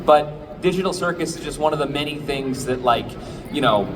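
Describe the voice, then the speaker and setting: A man's voice: fairly high pitch, moderate pace. A young man, a voice actor on a convention panel, talking casually with lots of fillers. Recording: noisy convention hall.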